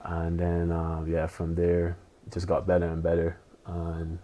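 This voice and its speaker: A man in his twenties from Barbados, a pro tennis player chatting as a podcast guest, relaxed and loose with lots of "you know". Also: sits low, unhurried. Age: 20s. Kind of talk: casual interview chat.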